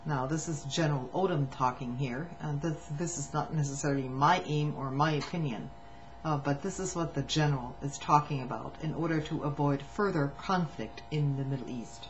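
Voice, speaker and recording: A woman with a lowish voice reads a formal statement aloud, steady and measured, with a slip or restart here and there. The recording is dull and lo-fi, and a faint electrical hum sits underneath it. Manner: measured reading with occasional stumbles and restarts. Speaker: reader of a public document. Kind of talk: reading testimony aloud.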